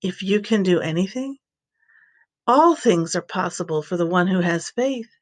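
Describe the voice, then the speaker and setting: A woman's voice: fairly low pitch, lively intonation, normal speed. A woman voicing an authoritative male teacher and healer in a scripture reading, firm and instructive. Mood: solemn teaching.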